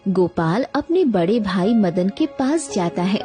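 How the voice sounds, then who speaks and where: A woman narrator reads a children's tale at a slow, measured pace. Her tone is mellow and dark, and music plays softly behind her. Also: mid-range voice, animated. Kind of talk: scripted children's story narration.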